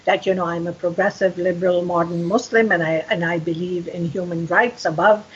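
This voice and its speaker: An older woman whose first language is Urdu, speaking earnestly as a podcast guest, often pausing with fillers. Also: fairly low pitch, steady tone, muffled recording, slight background noise. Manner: earnest, many fillers and restarts. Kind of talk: podcast interview.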